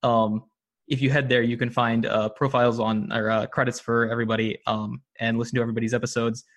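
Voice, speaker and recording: A man's voice: mid-range voice, little pitch movement, fast, fairly bright tone. A man talking as an interview guest, enthusiastic and full of filler words like um and uh. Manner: enthusiastic, many ums and uhs. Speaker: podcast guest, audio drama producer. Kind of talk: casual interview talk.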